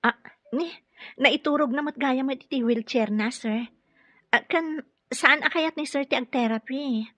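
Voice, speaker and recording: Polite, high-voiced female caregiver. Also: unhurried, pushed and bright, dry recording, heavily acted. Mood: polite, firm. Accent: Ilocano Filipino. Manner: polite, earnest. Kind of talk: Filipino radio drama acting.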